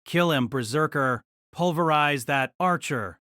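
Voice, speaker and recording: A man's voice: on the high side, unhurried, pushed and bright, dry recording, studio quality. A man putting on a vengeful man hissing with hate. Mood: hateful. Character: vengeful haunted man, seething with hatred.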